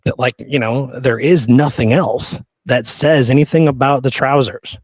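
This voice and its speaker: A man's voice: mid-range voice, normal speed, darkish tone, no echo. Man in a dry-sounding history discussion. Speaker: podcast co-host. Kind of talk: conversational historical discussion, sometimes reading quotes.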